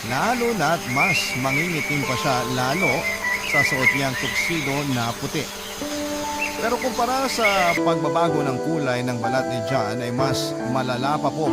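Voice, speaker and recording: A man with a Filipino accent reading a listener's letter as scripted, dramatic radio storytelling. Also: medium pitch. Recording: loud music bed under the voice.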